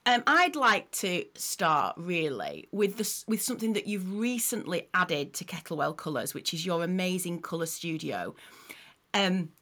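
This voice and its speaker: A woman's voice: on the low side, lively intonation, a little slow, fairly bright tone. A middle-aged woman hosting a podcast, chatting in an encouraging way with small restarts. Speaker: podcast host. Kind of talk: casual podcast chat.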